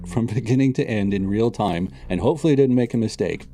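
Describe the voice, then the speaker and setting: A man, a composer, explaining how he played his parts in one take, relaxed and laughing a little. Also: fairly low pitch, fast talker. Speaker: composer guest. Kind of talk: interview clips, casual explanation. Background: music bed.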